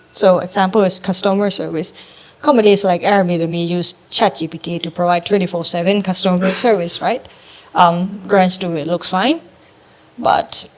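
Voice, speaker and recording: A young adult woman from Thailand, a startup co-founder giving a presentation to an audience, explaining in a measured way with frequent ums and some grammar. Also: fairly low pitch, poor audio.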